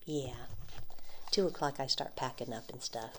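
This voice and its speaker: A woman in an ASMR roleplay as a friendly flea market vendor, speaking softly, often slipping into whispers, with light frequent laughs. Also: a bass voice, normal speed, slight background noise.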